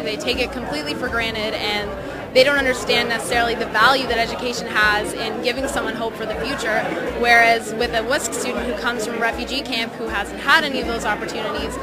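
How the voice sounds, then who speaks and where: Young woman, bit high voice, chatty and filler-heavy, music and crowd loud behind her. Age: young adult. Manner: chatty, many fillers like "kind of". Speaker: student committee coordinator. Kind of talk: casual interview testimonial.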